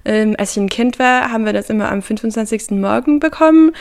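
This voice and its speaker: A young woman student talks in a relaxed, chatty way, pausing on fillers as she explains things. Her voice is of medium pitch and plain. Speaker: Erasmus student. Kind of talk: interview answers.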